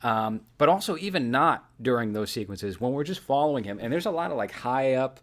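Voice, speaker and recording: A man's voice: mid-range voice, expressive, brisk. A man hosting a podcast, casually analysing films and explaining his points piece by piece. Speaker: podcast host.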